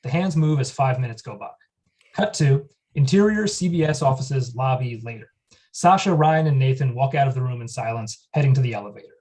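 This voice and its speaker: Brief: male reader for stage directions, mid-pitched, matter-of-fact. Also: fairly quick, darkish tone, rough recording. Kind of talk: flat reading of scene directions.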